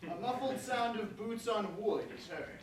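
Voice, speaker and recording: High-voiced man reading stage directions. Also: animated, unhurried. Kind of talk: scripted narration at a staged reading. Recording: very echoey room, distant mic, noise.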